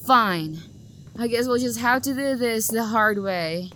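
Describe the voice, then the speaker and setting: A woman's voice: on the high side, unhurried, a little hiss or hum. A woman playing a sweetly menacing obsessive lover. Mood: teasing, hunting. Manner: sweetly menacing, drifting into hushed whispers. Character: obsessive possessive young woman stalking her beloved. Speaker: voice actor. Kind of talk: audio roleplay acting.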